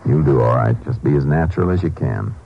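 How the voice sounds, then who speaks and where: Very deep-voiced, steady Texas Ranger. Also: male voice, quick pace, creaky, husky, full character voice. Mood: busy, focused. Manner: calm, matter-of-fact, authoritative. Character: steady, courteous Texas Ranger lawman in charge. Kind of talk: 1950s radio drama acting. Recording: dull old radio recording, faint hiss.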